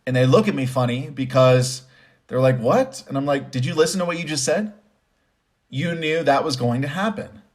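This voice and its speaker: A man's voice: medium pitch, animated, normal speed. A man giving conversational motivational commentary with personal stories, stressing points by repeating phrases. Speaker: host and commentator.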